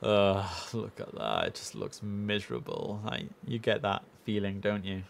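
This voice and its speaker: A man's voice: fairly low pitch, very animated, measured pace, creaky, slight background noise. A young man chatting casually and easily as he hosts a live gaming stream, speaking into a headset.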